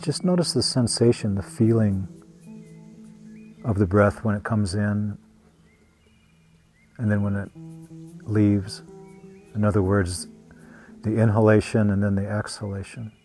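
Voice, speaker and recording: A man with a low voice guides a meditation over plucked guitar music. His delivery is very even and gentle. He takes his time, leaving long pauses between phrases, as a meditation teacher does. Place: meditation retreat.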